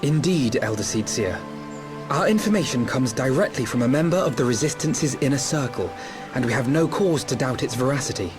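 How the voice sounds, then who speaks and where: A man's voice: mid-range voice, expressive, brisk, over music, close to an everyday voice. An actor playing an earnest, thoughtful young nobleman, speaking formally and articulately in a fantasy game cutscene. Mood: assured. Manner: formal, articulate, archaic wording.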